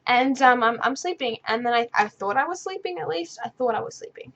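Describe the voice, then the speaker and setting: A woman's voice: high voice, animated, fairly quick, fairly bright tone, muffled recording. A young woman vlogger in her bedroom, answering viewer questions in a rambling, stuttering, unscripted way. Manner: stutters and restarts, rambling and easily sidetracked. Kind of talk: casual unscripted talk to camera.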